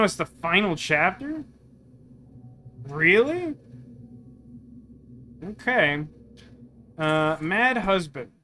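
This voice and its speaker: High-pitched, bright-voiced man gaming. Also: slight background noise. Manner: musing, puzzling through aloud. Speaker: gaming video host. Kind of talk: casual let's play commentary, thinking aloud.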